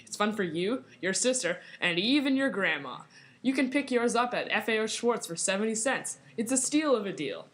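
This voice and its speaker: A woman playing a cheery, salesy pitchwoman reading a scripted 1920s radio advertisement. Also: expressive, moderate pace, lightly acted. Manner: salesy, enthusiastic.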